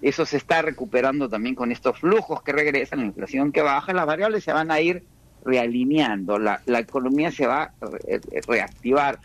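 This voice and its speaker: A man from Peru, speaking as an economist guest on a radio interview. He explains at a measured, careful pace, often restarting his sentences, and his voice sits in a middle pitch. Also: a bit bright.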